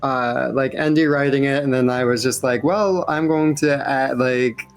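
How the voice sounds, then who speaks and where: Male cast member, medium pitch, blunt, self-mocking and conversational, faint music underneath. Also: normal speed, clear, resonant tone. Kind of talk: casual group Q&A chat.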